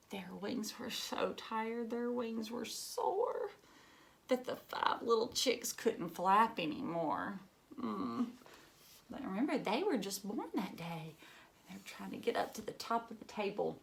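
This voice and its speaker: A woman reading a children's picture book aloud in an expressive, emphatic way, with asides and questions to young listeners. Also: on the high side, a little hiss or hum.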